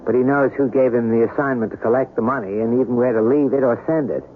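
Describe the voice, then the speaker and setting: An actor playing a blunt, suspicious adviser in a vintage radio drama, speaking insistently. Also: male voice, mid-range voice, fast talker, lightly acted. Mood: scornful, insistent. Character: blunt, suspicious man advising a blackmailed woman. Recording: narrow old radio recording, faint noise.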